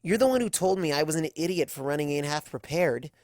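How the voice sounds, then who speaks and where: A man's voice: fairly high pitch, animated, fast talker, darkish tone, no room sound, voice pushed up, natural voice. A man voicing a young female unicorn heroine, dry-witted and stubborn, talking in a wry, casual way in a story reading. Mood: cautious arguing.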